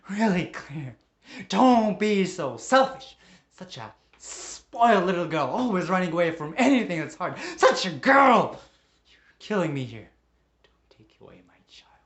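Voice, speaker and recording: A man's voice: medium pitch, measured pace, long pauses, lightly acted. A man playing a volatile, possessive husband. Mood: furious. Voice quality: stammering when apologetic. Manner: wild swings from hushed pleading to screaming, with whispered asides. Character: volatile, possessive husband swinging between rage and remorse.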